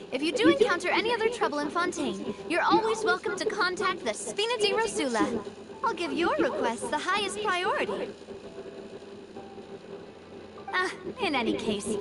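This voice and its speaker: A woman's voice: very high voice, sing-song, normal speed, loud music behind the voice. A woman voicing a confident, genteel young leader in a video game, playful and gracious, with a poised, musing manner. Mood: fond, gracious. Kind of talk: video game character acting.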